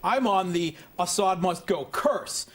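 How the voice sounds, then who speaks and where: A man's voice: sits high, animated, slow. A man giving assertive analysis as a guest in a political panel discussion, often restarting his phrases.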